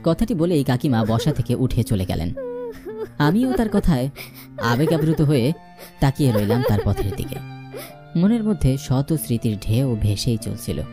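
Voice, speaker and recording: A man tells a scripted tale as its first-person narrator. His delivery is emotive and animated, with pitch that moves freely, and music plays clearly behind him. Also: moderate pace. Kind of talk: dramatic scripted narration of a ghost story.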